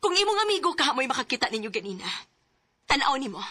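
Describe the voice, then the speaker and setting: A jealous wife, high and cutting, accusatory radio drama read. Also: female voice, very animated, quick pace, no echo, heavily acted. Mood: accusing. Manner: accusing, sharp. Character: jealous woman confronting her partner. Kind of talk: Cebuano radio drama acting.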